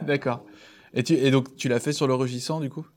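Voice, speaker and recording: A man's voice: mid-range voice, steady tone, moderate pace. A man interviewing a guest, reacting often with short affirmations and asking questions casually. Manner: friendly, brief affirmations, easy chatter.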